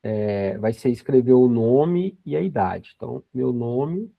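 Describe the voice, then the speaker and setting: A man's voice: mid-range voice, expressive, unhurried, creaky, dry recording. A man in his forties teaching a programming class, explaining each step patiently with frequent tag questions. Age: 40s. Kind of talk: screen-recorded coding lesson. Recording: dry, dull lo-fi sound.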